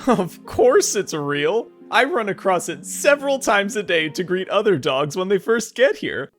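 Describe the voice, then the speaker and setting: Eager, loving pet dog played by an actor, excitable and gushing, very animated delivery, for audio play. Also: medium pitch, measured pace, soft background music. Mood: cheerful, sincere. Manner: gushing, excitable, breathy gasps and laughs. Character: eager, affectionate dog with human speech in pet heaven.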